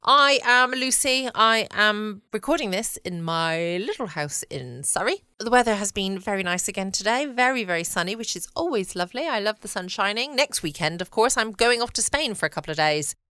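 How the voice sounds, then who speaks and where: A woman's voice: medium pitch, expressive, moderate pace, hardly any pauses, bright, forceful tone, smooth, dry recording, clean studio sound. A British woman hosting a bedtime podcast with casual chat, recorded at home. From United Kingdom. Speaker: podcast host. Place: at home, close and dry.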